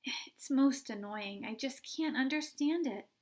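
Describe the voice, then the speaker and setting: An eccentric candy maker sighs through his explanations, unbothered, voiced by a woman reading aloud. He speaks slowly, in a light, somewhat high voice, airy and offhand. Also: darkish tone. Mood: breezy. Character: odd breezy male candy maker.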